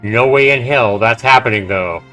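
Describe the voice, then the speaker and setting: A man's voice: medium pitch, normal speed, bright, forceful tone, poor audio. A man livestreaming a game, reading its narration aloud dramatically with casual, profane asides. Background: faint game music.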